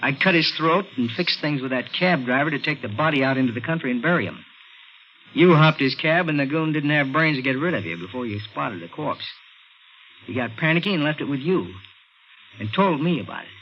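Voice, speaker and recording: An actor playing a cold murderer posing as a helpful policeman in a 1950s radio thriller, clipped and matter-of-fact. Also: fairly high pitch. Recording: muffled, noisy 1950s radio recording.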